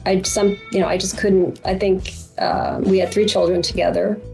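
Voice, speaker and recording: A middle-aged American woman from Boston, an author being interviewed, speaking reflectively with thoughtful, hesitant pauses. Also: mid-range voice, little pitch movement, gravelly, darkish tone, faint music behind, low-quality recording. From Boston, United States.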